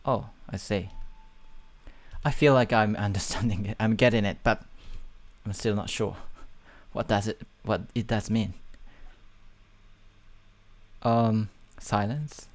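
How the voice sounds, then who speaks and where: Unhurried speaker. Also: mid-range voice, fairly even intonation. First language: Japanese. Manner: hesitant, halting, with repeated words and fillers. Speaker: video reactor. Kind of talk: casual reaction commentary.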